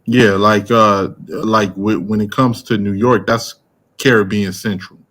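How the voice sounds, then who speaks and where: A man's voice: lowish voice, steady tone, measured pace, fairly bright tone. A man, talking casually as a podcast host, rambling with fillers and restarts. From American Midwest. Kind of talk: casual conversation.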